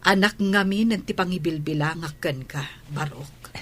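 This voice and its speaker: A woman's voice: low-pitched, normal speed, a bit bright, a little hiss or hum. A woman acting in a radio drama as a devoted, doting household nanny.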